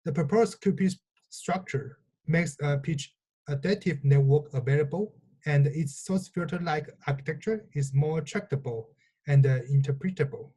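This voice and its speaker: A man delivers a scripted technical research presentation. His voice sits a little high for a man, yet it is soft-edged and mellow, with a husky grain. He reads in halting phrases.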